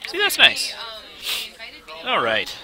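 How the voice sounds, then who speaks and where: A man giving live commentary while playing a game, excitable and talking to himself, with a slight cold and sniffling. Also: sits high, takes it slowly. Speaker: game streamer. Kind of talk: live let's play commentary. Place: game studio event.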